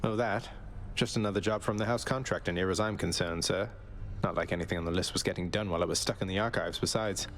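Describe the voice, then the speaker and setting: An actor playing a young underworld prince in a video game, dry and easygoing, with a casual, understated delivery. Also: male voice, low voice, quick pace, hardly any pauses, fairly bright tone. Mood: wry, casual. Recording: music behind the voice.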